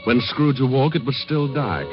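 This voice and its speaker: A man's voice: mid-range voice, animated, moderate pace, lightly acted. A man narrating a ghost story with an American accent in a casual, folksy 1950s radio storytelling style. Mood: easygoing. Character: storyteller narrating a slangy Christmas ghost tale. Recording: dull old radio recording, loud music.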